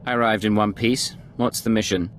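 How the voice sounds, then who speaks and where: Low-voiced, guarded secret agent played by a man. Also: steady tone, fairly quick, a bit bright, slight background noise, lightly acted. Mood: guarded, professional. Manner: curt, controlled. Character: guarded male undercover imperial agent, cool professional.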